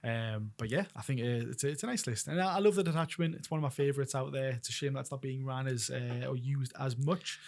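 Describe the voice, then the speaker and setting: A man co-hosting a casual chat about tabletop wargame army lists, explaining in a rapid, chatty way. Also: medium pitch, creaky, a little mellow. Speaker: co-host.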